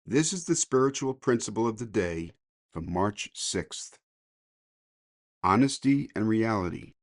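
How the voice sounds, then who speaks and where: A mature man reading a daily recovery meditation in a measured way, as a podcast reader. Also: on the low side, no room sound, studio quality.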